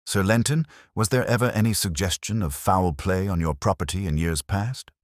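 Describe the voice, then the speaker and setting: A man voicing an incisive, commanding English detective in an audiobook, with measured, clipped delivery. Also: low voice, dry recording, studio quality, pitched above the performer's own voice, close to an everyday voice. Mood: cool, pointed.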